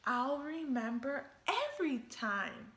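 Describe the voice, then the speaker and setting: Woman playing earnest schoolboy shark for a picture book, deliberate rhyming delivery, roomy sound. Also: high-pitched, very animated, fairly bright tone, full character voice. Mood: careful, self-coaching. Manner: sing-song rhyming, earnest. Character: eager young boy shark, kind and earnest schoolchild.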